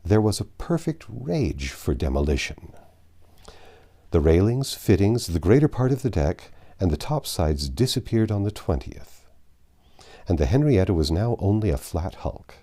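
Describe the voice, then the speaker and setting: A man narrating a classic adventure story aloud in an expressive storybook style. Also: low-pitched, normal speed. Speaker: audiobook narrator.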